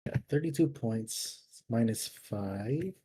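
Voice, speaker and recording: A man with a mid-range voice and lively, swinging intonation talks as a player in a tabletop game. He chats casually and informally, musing aloud as he works through his choices. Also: mid-range voice, slow pace, slight background noise. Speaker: player creating a character. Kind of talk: casual chat, thinking aloud.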